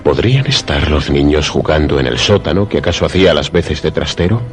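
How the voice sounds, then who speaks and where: Very deep-voiced man narrating suspense. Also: expressive. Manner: measured, literary storytelling. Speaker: narrator of a horror radio play. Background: music under the voice.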